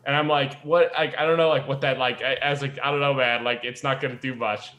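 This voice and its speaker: A man's voice: medium pitch, fairly even intonation, quick pace, sharp tone, faint music behind. A young man co-hosting a podcast, chatting casually in a rambling way full of "like". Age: young adult. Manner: rambling, peppered with "like". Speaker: podcast co-host. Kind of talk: casual group chat.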